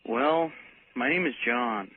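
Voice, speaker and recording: Hesitant, slow-talking man on the phone. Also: mid-range voice, lively intonation, husky. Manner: hesitant, apologetic, halting. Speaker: radio show caller. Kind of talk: casual phone-in conversation. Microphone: telephone. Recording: tinny noisy phone line.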